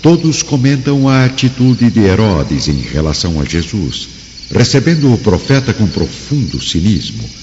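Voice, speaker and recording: A man's voice: fairly low pitch, very animated, normal speed, in a large hall, highs cut off, background noise. A man with a Brazilian accent giving solemn, dramatic scripted narration for a radio drama.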